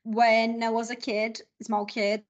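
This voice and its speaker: A woman's voice: fairly high pitch, takes it slowly, dull audio. A woman from Brazil in her thirties, speaking English with a Brazilian Portuguese accent, telling stories with enthusiasm as a podcast guest. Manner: animated, enthusiastic storytelling. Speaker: guest, 3D modeling specialist. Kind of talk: casual podcast chat.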